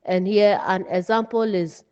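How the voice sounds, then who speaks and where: A Ghanaian woman delivers a lesson at a slow, deliberate pace. She breaks her teaching into short, emphatic phrases. The recording is dull and muffled, with long reverb around her voice. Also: medium pitch, fairly even intonation, darkish tone, clear, resonant tone. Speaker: writing teacher. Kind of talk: instructional lecture from notes.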